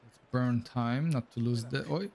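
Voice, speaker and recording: A man's voice: medium pitch, measured pace, gravelly, a little mellow. Chatty man with a Polish accent. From Poland. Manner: chatty, reacts aloud to the match, says "bro" and "man". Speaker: streamer. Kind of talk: live gameplay commentary and chat.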